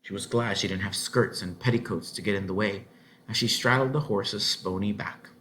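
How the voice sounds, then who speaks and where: A man narrating a children's novel aloud in an expressive storybook style. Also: on the low side, normal speed, slight background noise.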